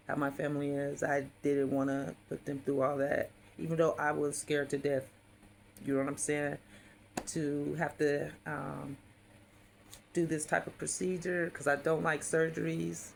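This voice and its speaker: A woman with a deep, raspy voice, hoarse while healing, telling a personal story in a casual, rambling way with many fillers. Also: a little hiss or hum. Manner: rambling, frequent fillers and restarts. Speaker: vlogger.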